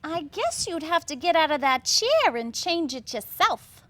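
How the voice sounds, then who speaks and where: A woman acts out a loving but sassy 1950s housewife in a staged play reading. Her voice is high and bright, with very animated pitch. She teases her husband with dry, playful wit. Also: a little slow, heavily acted. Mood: playful sarcasm.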